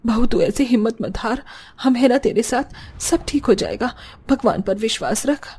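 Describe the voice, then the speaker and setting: A woman's voice: fairly high pitch, lively intonation, normal speed, darkish tone, breathy edge. A woman playing a devout, protective elderly mother-in-law in a cartoon family story, speaking earnestly and soothingly. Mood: worried, reassuring.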